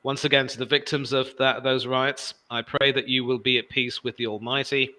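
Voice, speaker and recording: A man in his forties chatting as a guest pundit on a sports livestream. He has a very bright, crisp and clean voice, and he talks loudly, with emphasis, often jumping in over the others. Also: medium pitch, little pitch movement, normal speed, rough recording. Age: 40s. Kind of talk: casual livestream chat.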